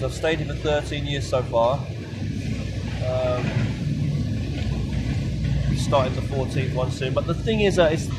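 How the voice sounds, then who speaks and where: Rambling man with outdoor traffic noise. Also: mid-range voice. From London, England. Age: 30s. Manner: halting, lots of fillers and restarts. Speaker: vlogger. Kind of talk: rambling monologue vlog.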